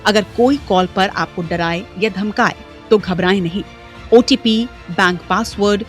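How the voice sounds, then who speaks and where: A woman reading a scripted news voice-over with punchy, dramatic phrasing. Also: medium pitch. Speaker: news report narrator. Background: faint music.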